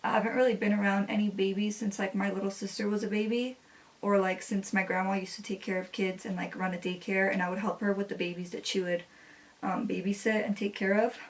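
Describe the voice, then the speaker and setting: A relaxed young woman in her twenties, a native Spanish speaker, chats easily about her life while she does her makeup at home. She fills her sentences with "like", and her voice sits at an ordinary middle pitch. The camera mic sits a little away from her. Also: a little fast, far from the mic, poor audio. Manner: chatty, relaxed, many "like" fillers. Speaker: beauty vlogger. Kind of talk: casual chat while doing makeup.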